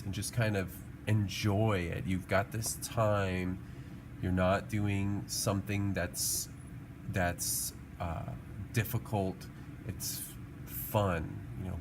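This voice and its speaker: A man giving a casual drawing tutorial, thinking aloud in an informal, encouraging, musing way as an art teacher. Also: sits low. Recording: clearly audible background noise.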